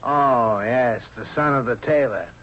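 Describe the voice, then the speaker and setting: Man acting a stern, imperious high official. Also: measured pace, slight background noise, close to an everyday voice. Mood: stern, menacing. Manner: formal, commanding. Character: stern, imperious prime minister and father. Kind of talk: old-time radio drama acting. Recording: muffled radio recording.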